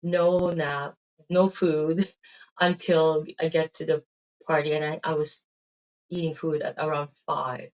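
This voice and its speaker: A woman's voice: low-pitched, little pitch movement, takes it slowly, darkish tone, rough recording. A middle-aged woman with a Filipino accent gives a tired, rambling casual talk about her faith, full of "um"s and "right?" tags. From Philippines. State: tired, drained of energy.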